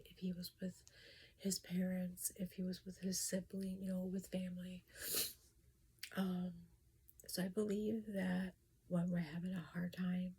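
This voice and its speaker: A woman talking casually about spirits and grief in a soft whisper, hesitant and full of restarts. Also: fairly low pitch, slightly rough. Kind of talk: casual personal talk.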